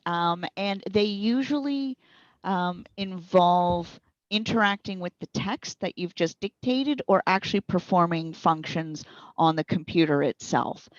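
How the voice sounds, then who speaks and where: Low-voiced woman explaining, roomy sound. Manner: conversational, instructive. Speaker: speech recognition trainer being interviewed. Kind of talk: explanatory interview talk. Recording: boxy roomy echo, slightly dull sound.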